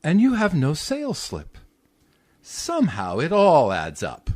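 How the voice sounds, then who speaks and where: A man's voice: a little high, wildly swooping pitch, measured pace, darkish tone, full character voice. Man playing swooping, pompous radio store clerk. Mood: haughty, irritated. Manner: pompous, fussy, mock-genteel. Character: officious, falsely cheerful male store clerk. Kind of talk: 1940s radio comedy acting.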